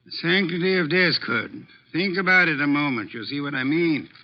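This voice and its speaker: A male actor plays an imperious, pedantic genius detective in a classic radio drama. His voice sits a little high for a man, and he speaks in formal, exact phrases with pompous authority. The vintage recording is muffled. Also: normal speed, lightly acted. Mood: measured, authoritative. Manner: pompous, formal, precise diction. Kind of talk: 1940s-50s radio mystery acting.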